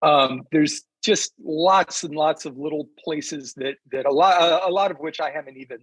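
A man talking casually and reflectively on a panel as a fantasy author, often pausing mid-sentence as he works out his thought. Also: on the high side, a bit bright, husky, no echo, poor audio. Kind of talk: casual unscripted panel talk.

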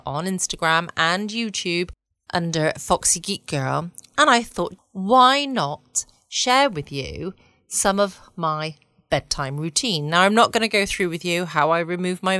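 A woman's voice: on the low side, a bit bright, no echo, clean studio sound. A woman with an English accent hosting a late-night bedtime podcast in a cosy, chatty way with playful asides. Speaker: podcast host. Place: at home, close and dry-sounding room.

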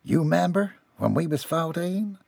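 A man acts out a rowdy hillbilly husband from a storybook. He has a folksy drawl with a husky edge. He sweet-talks and teases, unbothered, in a lively swing of pitch. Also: moderate pace, no room sound, heavily acted. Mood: soothing, grinning. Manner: folksy drawl, ungrammatical, teasing.

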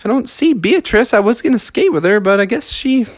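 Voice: medium pitch, lively intonation, brisk, no echo, studio quality, pitched above the performer's own voice, close to an everyday voice. A storyteller voicing an earnest, clumsy young male frog who stammers, pleads and gets frantic. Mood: flustered.

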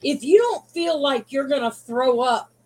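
A woman's voice: sits high, lively intonation, unhurried. A woman in her sixties, a former wrestler as interview guest, speaking frankly and bluntly with some teasing. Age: 60s. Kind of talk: casual interview chat.

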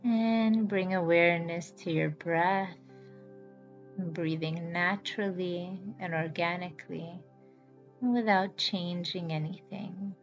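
A woman leads a guided relaxation in a soothing, unhurried voice at a middling pitch. She gives each instruction slowly and leaves deliberate pauses. The sound is a little dull, with music playing behind her. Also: steady tone. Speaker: yoga nidra guide and podcast host. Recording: slightly dull audio with background music.

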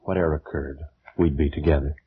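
A man's voice: rumbling low, steady tone, fast talker, slight background noise. A man acting an earnest, lovestruck ancient Egyptian sorcerer in a formal, theatrical old radio drama style. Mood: urgent, persuasive. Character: ardent, solemn male ancient Egyptian sorcerer in love. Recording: tinny old radio recording with music.

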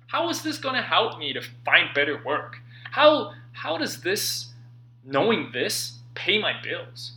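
Animated, very bright-voiced man reading motivationally. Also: sits high. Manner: earnest, emphatic, dramatic phrasing with pauses. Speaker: author reading own book. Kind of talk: expressive self-help audiobook narration.

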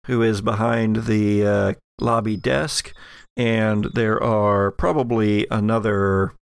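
A man narrating a tabletop horror game as game master, setting scenes theatrically with deliberate pauses. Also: on the low side, little pitch movement, vocal fry, clean tone, no room sound. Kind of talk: narrating an actual-play horror roleplaying game.

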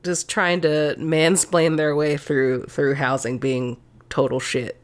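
A woman's voice: deep voice, flat delivery, slow. A woman co-hosting a podcast, chatting casually and sardonically about politics, laughing often. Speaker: podcast co-host.

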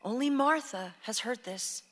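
A woman's voice: on the high side, animated, a little slow, a bit bright, in a large hall. A woman narrating a radio play, reading scripted stage directions with composure. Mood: composed.

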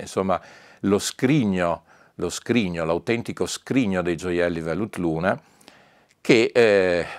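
A man presenting news as a record label owner in an informal promotional video, speaking emphatically and deliberately and repeating key words for weight. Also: low voice, dry recording, clean studio sound.

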